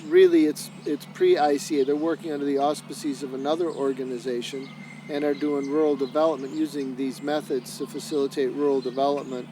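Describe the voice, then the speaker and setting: Male trainer, measured and explanatory, with pauses; mid voice, hum behind. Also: fairly high pitch. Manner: steady, measured, with frequent "uh" pauses. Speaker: senior associate and lead trainer. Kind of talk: explanatory documentary interview.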